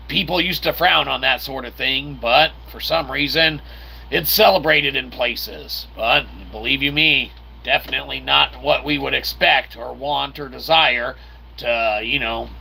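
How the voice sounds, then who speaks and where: A man's voice: medium pitch, measured pace, gravelly, pushed and bright, breathy edge, rough recording. A man giving casual, joking game commentary in wordy, mock-formal phrases, tossing in playful vocal sound effects. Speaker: host of a gameplay video channel.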